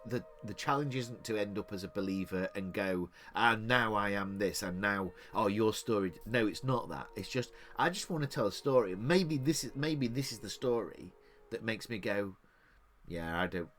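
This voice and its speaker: A man's voice: fairly low pitch, moderate pace, fairly bright tone. A middle-aged man from England co-hosting a podcast, rambling in casual chat with frequent restarts and easy laughter. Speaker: podcast co-host.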